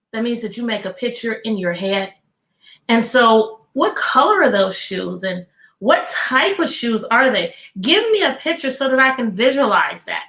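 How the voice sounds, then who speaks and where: Bright, high-voiced woman teaching children. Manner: animated, encouraging, talking directly to children with many questions. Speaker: teacher hosting a children's read-aloud. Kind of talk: live educational talk to children online. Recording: echoey room sound.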